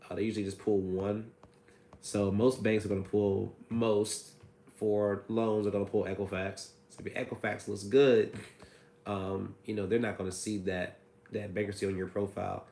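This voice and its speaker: A man with a hoarse, raspy voice from a cold, hosting a casual livestream and answering viewer questions about credit repair. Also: lowish voice, a little mellow, echoey room. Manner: reads viewer comments aloud, chatty and loose.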